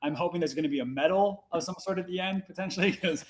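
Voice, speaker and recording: A man in his forties, a podcast guest telling the story of his nonprofit, energetic and playful. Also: sits high, slight background noise. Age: 40s. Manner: energetic, playful, quick-talking. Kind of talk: casual podcast conversation.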